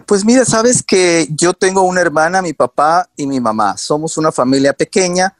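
Hombre de cuarenta, acento quiteño, invitado de entrevista, voz algo aguda, sonido seco. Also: male voice, a little high, normal speed, no echo, clean studio sound. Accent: Quito Ecuadorian Spanish. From Ecuador. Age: 40s. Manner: animated, laughs often, many fillers. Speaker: guest. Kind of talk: casual interview chat.

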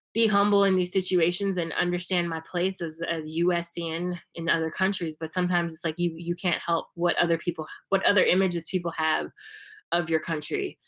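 A woman's voice: lowish voice, a bit bright. A young woman from the United States talking casually and reflectively, with many fillers and restarts. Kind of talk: casual conversation.

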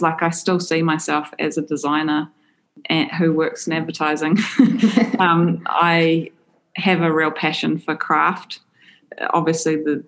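Woman, New Zealand accent, very low voice, enthusiastic, casual, many fillers. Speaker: interview guest, creative director. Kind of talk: casual interview answers.

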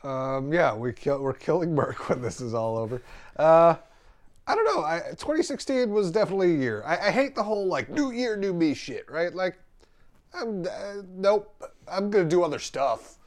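Dude hosting a podcast, swears a lot, rambles, mumbles then yells. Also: male voice, fairly high pitch, lively intonation, normal speed. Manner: profane, rambling, swings from mumbling to shouting. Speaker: podcast host. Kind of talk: casual chat.

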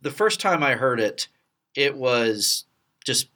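A middle-aged man from Texas with an American accent hosts a casual behind-the-scenes chat, telling stories in a halting, rambling way. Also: mid-range voice, sing-song, bright, forceful tone. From Texas, United States. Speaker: podcast host and radio play producer.

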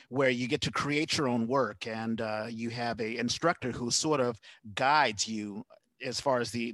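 A man's voice: medium pitch, a bit bright, no echo. Man hosting a casual interview, dry sound. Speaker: podcast host.

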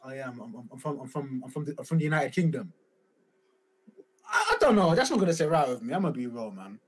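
Hyped guy talking fast, voice jumps up and down, repeats stuff. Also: medium pitch, husky. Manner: excitable, repeats himself. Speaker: livestream co-host. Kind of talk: casual chat.

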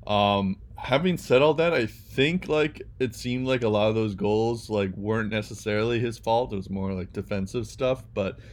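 A man's voice: lowish voice, moderate pace, hardly any pauses. A young man co-hosting a casual sports podcast, talking hesitantly with many ums and restarts. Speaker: podcast co-host.

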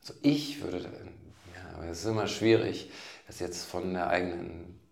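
A man in his fifties from Germany, a guest writer talking things through in a thoughtful, halting way, often restarting his phrases. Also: low voice, steady tone, vocal fry, a little mellow. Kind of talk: reflective conversation. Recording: roomy echo.

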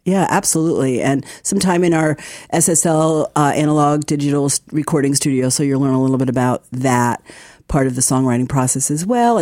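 A woman's voice: deep voice, dark tone, no room sound, studio quality. A woman hosting a radio program in a conversational, upbeat and promotional way. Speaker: radio host, library community partnership director.

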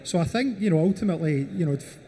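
Mellow man with filler-heavy talk. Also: sits high, expressive, fast talker. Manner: repeats "you know" often. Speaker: panelist. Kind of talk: panel discussion.

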